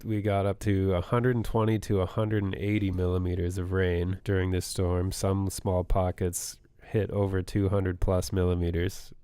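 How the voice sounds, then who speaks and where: A man's voice: low-pitched, level tone, normal speed, few pauses, warm tone, clean tone, no echo. A man co-hosting a podcast in casual chat, laying out storm figures with many fillers, his voice a bit worn. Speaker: podcast co-host.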